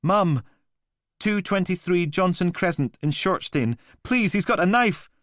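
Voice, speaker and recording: A man's voice: high-pitched, normal speed, dry recording, clean studio sound, voice pushed up. A man voicing a panicked young woman pleading for help.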